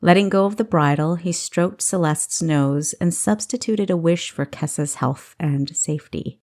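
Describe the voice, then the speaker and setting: A woman's voice: lowish voice, a little slow, darkish tone. A woman narrating a novel as an audiobook, reading expressively from a script.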